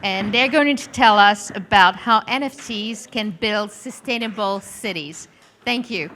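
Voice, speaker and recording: A woman's voice: mid-range voice, measured pace, a bit bright, a little hiss or hum. A woman hosting an event and announcing speakers from the stage. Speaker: event host. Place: conference venue stage.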